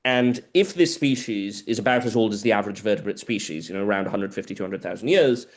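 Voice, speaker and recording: Low-voiced lecturer, native Spanish speaker. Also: male voice, quick pace. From South America. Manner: explanatory, informal, detailed. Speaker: guest lecturer. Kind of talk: answering audience questions at a seminar.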